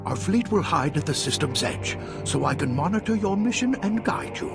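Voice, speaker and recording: A man playing an elderly, theatrical, wise Jedi master in a video game, with dramatic pauses and drawn-out mentor phrasing. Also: fairly high pitch, sing-song, vocal fry, music in the background. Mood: grave, explanatory.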